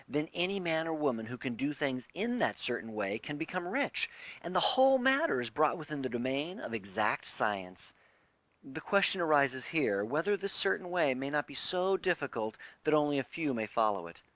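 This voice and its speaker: Man reading an audiobook, lively intonation. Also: mid-range voice, no room sound. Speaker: audiobook narrator. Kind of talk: scripted audiobook reading of a self-help text.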